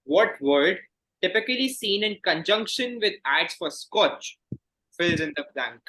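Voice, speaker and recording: A man acts as quizmaster, reading questions aloud in a formal, courteous way. His voice sits a little high and has a very bright, crisp edge. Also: animated, measured pace, rough recording.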